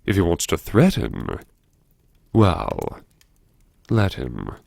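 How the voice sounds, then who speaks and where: A man performing a first-person audiobook monologue as a brooding, wry character who anxiously lectures himself in a theatrical, musing way with dramatic pauses. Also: deep voice, wildly swooping pitch, gravelly, a little mellow, breathy edge, dry recording, studio quality, exaggerated voice. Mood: bitter self-reassurance. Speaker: audiobook narrator.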